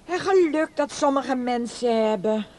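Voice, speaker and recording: A woman's voice: high voice, expressive, measured pace, darkish tone. An actress in a radio play, playing a sceptical, scornful, grumbling woman. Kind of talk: Dutch radio play acting. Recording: distant, noisy old radio drama.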